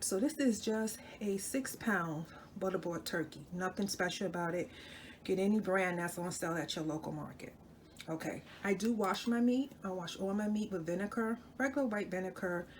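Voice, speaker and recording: A woman's voice: medium pitch, darkish tone, a little hiss or hum. A woman hosting a cooking channel, chatting casually to viewers as she demonstrates a recipe in her home kitchen, sounding a little stuffy from a cold and often correcting herself. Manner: chatty, talks to viewers while working, self-correcting.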